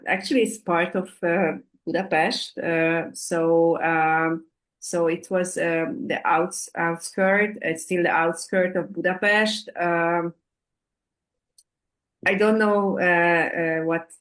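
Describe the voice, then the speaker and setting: Slow halting woman. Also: deep voice. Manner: slow and halting, frequent ums and uhs. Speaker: tour guide answering audience questions. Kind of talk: hesitant Q&A answers, many fillers.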